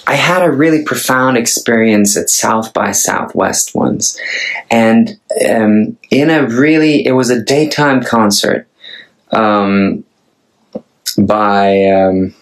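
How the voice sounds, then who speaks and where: A Norwegian man in his thirties, a singer-songwriter, talking casually and thoughtfully to camera with a Norwegian accent, often pausing and restarting his sentences. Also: lowish voice, creaky, poor audio. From Norway. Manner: hesitant and thoughtful, many restarts.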